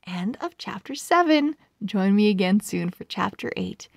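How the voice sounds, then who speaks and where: A woman narrating a children's storybook aloud, expressive and carefully articulated. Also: medium pitch, a little slow, gravelly, no echo, clean studio sound. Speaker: children's book read-aloud host.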